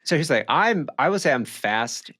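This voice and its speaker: A man's voice: fairly high pitch, big pitch swings, normal speed, sharp tone. A young man co-hosting a podcast in casual, slangy chat full of bro and dude. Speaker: podcast co-host.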